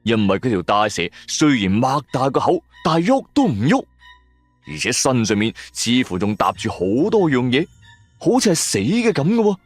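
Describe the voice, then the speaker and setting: Animated man telling a supernatural story. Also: mid-range voice, normal speed, no echo. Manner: expressive, suspenseful storytelling. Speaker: radio drama storyteller. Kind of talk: dramatic first-person supernatural story narration. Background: background music.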